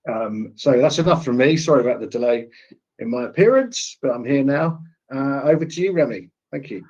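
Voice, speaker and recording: A man hosting an online session with an informal introduction, speaking in a hesitant, halting way. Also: medium pitch, lively intonation, a little mellow, lo-fi sound. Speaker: webinar host. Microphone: online webinar call.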